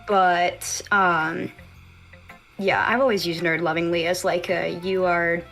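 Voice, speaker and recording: A woman's voice: fairly low pitch, steady tone, slow, gravelly, fairly bright tone, faint music behind. A woman from the United States chatting casually as a livestream guest, effusive and rambling. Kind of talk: casual chat.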